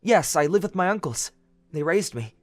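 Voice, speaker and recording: Shy, earnest young woodland lad played by a woman, low for a female voice, swinging widely in pitch. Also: animated, moderate pace, a little mellow, dry recording, close to an everyday voice. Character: gentle, sheltered young forest man, shy and earnest. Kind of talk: fan audio drama acting.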